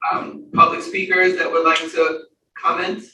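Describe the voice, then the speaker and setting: A man's voice: fairly high pitch, monotone, unhurried, pushed and bright. A man chairing a public meeting, polite and welcoming as he calls on speakers, picked up from across the room. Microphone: room mic from a distance. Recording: echoey room, distant mic, faint noise.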